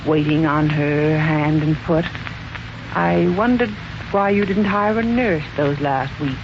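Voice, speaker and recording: Suspicious, insinuating servant, deferential yet pointed, old-time radio drama, dull recording with clear background noise. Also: female voice, deep voice, takes it slowly. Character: insinuating housemaid, deferential on the surface, quietly suspicious. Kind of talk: 1940s radio mystery acting. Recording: muffled, noisy 1940s radio recording.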